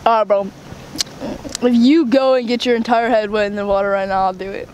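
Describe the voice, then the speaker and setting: A man's voice: sits high, steady tone, brisk, a little mellow, a little hiss or hum, rough recording. A teenage boy teasing his friend in laid-back casual chat at the beach.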